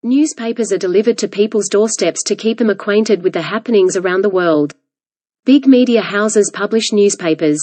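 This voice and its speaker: A woman reading a scripted passage aloud as a model answer, with measured, careful enunciation. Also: mid-range voice, dark tone, no echo. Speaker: exam practice model reader. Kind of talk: read-aloud scripted narration.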